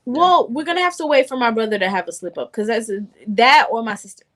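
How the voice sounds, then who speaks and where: A woman in her late twenties with a fairly high voice talks in a casual group conversation. She is animated and slangy, joking her way through her points as a podcast panelist. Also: fairly quick. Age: late 20s.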